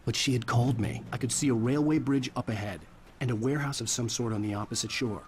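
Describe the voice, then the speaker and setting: An actor playing a world-weary writer, narrating a video game in a measured, somber, dry way. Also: male voice, medium pitch, a little hiss or hum, lightly acted. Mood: brooding. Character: brooding, world-weary male writer telling his ordeal. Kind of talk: scripted first-person game narration.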